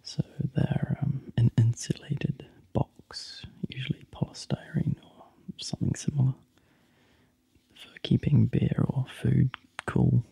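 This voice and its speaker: A soft, breathy ASMR whisper from a New Zealand man, unhurried and full of little ums. His voice sits mellow and dark, with a gravelly edge. The breathiness never lifts into clear tone. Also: medium pitch, level tone, no echo.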